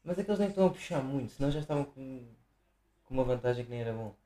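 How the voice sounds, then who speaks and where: Young man, central Portuguese accent, casual podcast chat, middle-pitched, acts out what people said. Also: lively intonation, very fast, dark tone. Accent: central Portuguese, Viseu. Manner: loose storytelling, acts out quoted people, swears freely. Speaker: podcast co-host.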